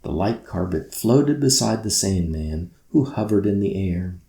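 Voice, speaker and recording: Male storybook narrator, deep and unhurried, in a roomy, echoing space. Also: expressive, gravelly, mellow. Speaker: author reading own book aloud. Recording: roomy echo.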